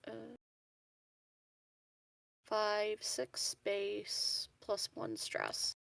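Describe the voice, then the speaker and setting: A woman playing a tabletop game, casually saying her moves aloud with hesitant pauses. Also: mid-range voice, sing-song, gravelly, a bit bright, no echo. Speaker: player in a tabletop RPG. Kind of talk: casual game chat, narrating own moves.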